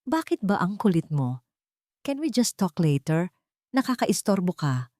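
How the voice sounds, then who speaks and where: An impatient, wealthy businessman snaps with irritation, acted by a woman narrator in audio drama style. The voice is lowish and recorded dry. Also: big pitch swings, measured pace, a little mellow, dry recording. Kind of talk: Tagalog audio drama dialogue.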